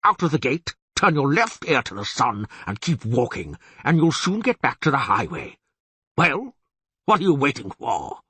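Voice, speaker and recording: A man voicing a huge, blustering, irascible bear-headed monster in a gruff, raspy storybook reading. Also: medium pitch, big pitch swings, normal speed, a bit bright, no echo, voice pushed up. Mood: grudging. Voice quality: raspy, roaring. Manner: blustering, indignant, theatrical.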